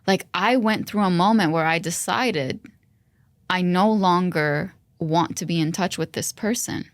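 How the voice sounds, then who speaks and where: Low-voiced woman, earnest podcast co-host. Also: measured pace, no echo. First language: Arabic. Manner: reflective, earnest storytelling. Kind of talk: casual podcast conversation.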